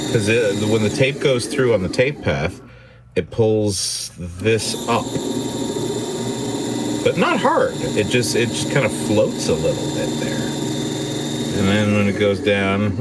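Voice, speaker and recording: A man thinking aloud in casual, halting, playful commentary while he works hands-on with a machine indoors. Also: on the low side, big pitch swings. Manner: halting, muttering to himself, playful. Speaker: vlogger repairing a tape deck. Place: indoors at a repair bench. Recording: clear machine hum and whir.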